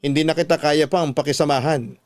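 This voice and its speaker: Unfaithful husband for radio drama, acted by a man: cold, blunt, blaming, lightly high voice over music. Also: fairly even intonation, fairly quick, dry recording, natural voice. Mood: cold, rejecting. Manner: blunt, rejecting. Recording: music bed under the voice.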